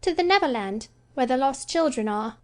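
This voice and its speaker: Woman doing high, theatrical girl storyteller Wendy. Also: lively intonation, normal speed, a little mellow. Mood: storytelling. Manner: theatrical, sing-song telling. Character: earnest girl storyteller, motherly and dramatic.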